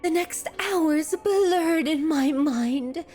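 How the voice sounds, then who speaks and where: Yandere artist kidnapper for ASMR roleplay: very high voice, unhurried, genteel and theatrical, menacingly adoring, music prominent underneath. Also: female voice, dark tone. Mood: rapturous, obsessed. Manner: florid, theatrical, formal diction, lingering over words. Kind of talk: scripted ASMR roleplay monologue.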